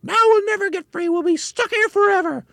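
A man's voice: very high-pitched, a little fast, gravelly, no echo, clean studio sound, voice pushed up, heavily acted. A man voicing a spiteful, hysterical old wife in a screechy, bossy storybook voice. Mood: furious.

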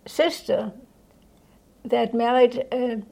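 An elderly woman from Austria recalling her life at home in an unscripted way, halting as she searches for words. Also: fairly high pitch, steady tone, gravelly, dark tone, low-quality recording. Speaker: interviewee recounting life history. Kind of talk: unscripted reminiscence. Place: indoors in own home.

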